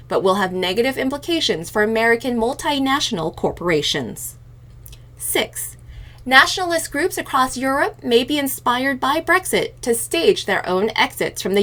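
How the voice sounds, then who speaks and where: A woman hosting a news programme, reading a scripted report in a measured, enunciated way. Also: fairly high pitch, fairly bright tone. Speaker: news podcast host reading headlines.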